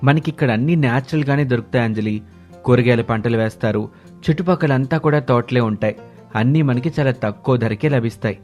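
A man playing a surprised, mildly teasing young village-born husband and son in an animated story. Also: mid-range voice, fairly quick, close to an everyday voice. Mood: friendly. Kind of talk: animated moral-story voice acting. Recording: music bed under the voice.

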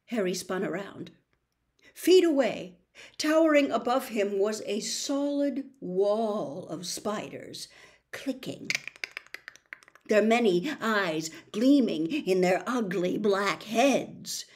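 An older woman narrating a story expressively, in a dramatic, deliberate manner. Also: medium pitch, animated, darkish tone. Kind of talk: expressive audiobook-style narration. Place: small bedroom, indoors.

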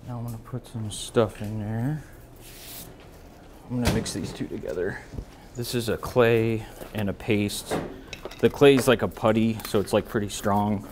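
A man's voice: on the low side, steady tone, normal speed. An American man, a barber, explains his work casually and in an easygoing way as he goes. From United States. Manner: easygoing, explanatory, thinking aloud. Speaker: barber giving a haircut tutorial. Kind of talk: casual instructional talk while working. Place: indoor brick-walled barber shop. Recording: clear electric clipper buzz and hum. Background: electric clippers buzzing, hum.

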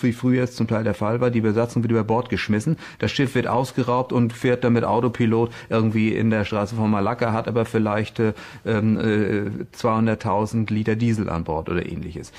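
A man speaking off the cuff as an expert in an interview, with a hesitant, halting delivery. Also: lowish voice, a little mellow. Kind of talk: unscripted interview commentary.

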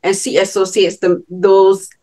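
A woman's voice: mid-range voice, monotone, measured pace, mellow. A woman in her thirties with a Bajan accent, hosting an interview over a video call and thinking aloud with many fillers. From Barbados. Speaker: podcast host. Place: home with virtual beach background.

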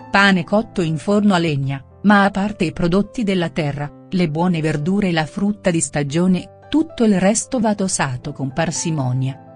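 A woman's voice: lowish voice, darkish tone. A woman reading a scripted daily horoscope as narration. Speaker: horoscope reader. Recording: music under the voice. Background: background music.

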